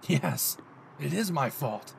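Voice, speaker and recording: A man's voice: a little high, animated, slow, vocal fry, slight background noise, full character voice. A man playing a brash, possessive young hero, cocky, swearing and intense, in a dramatic audio roleplay spoken to the listener. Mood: bitter, intense. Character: brash, possessive young male hero, gruff and foul-mouthed.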